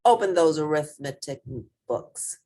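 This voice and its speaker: A woman's voice: sits low, expressive, a little slow, mellow. A woman voicing a nasty, witchlike substitute teacher from a storybook, snappish and commanding. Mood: stern, threatening.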